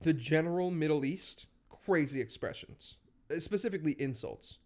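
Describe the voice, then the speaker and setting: A man's voice: a little high, expressive, moderate pace. A young man co-hosting a podcast in casual chat, joking and laughing, sometimes getting stuck on words. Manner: joking, laughs a lot, gets hung up on words. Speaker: podcast co-host.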